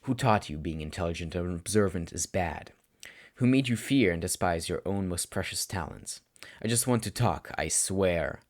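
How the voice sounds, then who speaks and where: A man voicing a weary male teacher, gruff but gentle, who chooses his words carefully in a story reading. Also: low voice, expressive, pitched below the performer's own voice. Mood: firm, gentle. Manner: measured, careful, deliberate.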